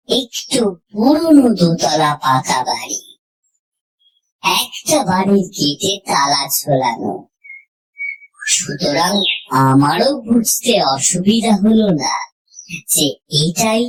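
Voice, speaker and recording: Woman, lowish voice, very slow pace, scripted story narration, measured pauses. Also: poor audio. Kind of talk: scripted horror story narration, first person.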